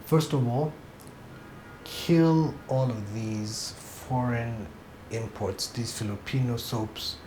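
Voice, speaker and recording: A man's voice: mid-range voice, slow, echoey room, background noise. A man from Kenya, a TV series director, giving frank, emphatic opinions in a sit-down interview.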